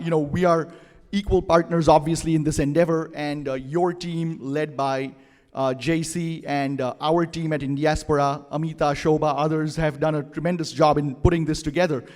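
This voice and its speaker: A man giving relaxed, chatty opening remarks at a conference, with fillers and questions to the audience. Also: on the high side. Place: conference hall. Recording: long hall reverb.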